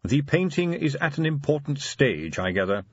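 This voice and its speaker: A man voicing a deferential, unflappable butler in an audiobook, precise, measured and politely dry. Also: a little high, very animated, husky, dry recording, highs cut off, pitched above the performer's own voice. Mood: polite, formal. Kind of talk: audiobook character acting.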